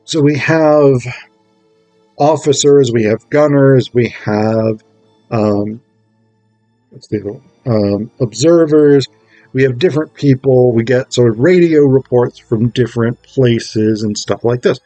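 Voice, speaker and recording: A man's voice: mid-range voice, unhurried, mellow, no echo, soft background music. A man giving a casual spoken review, enthusiastic and digressive, often thinking aloud as he goes. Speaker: amateur stage actor reviewing a play script.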